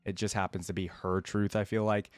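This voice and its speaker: A man's voice: on the low side, level tone, a little fast, dry recording, studio quality. A man giving casual, musing commentary as a reaction video host. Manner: informal, musing, conversational.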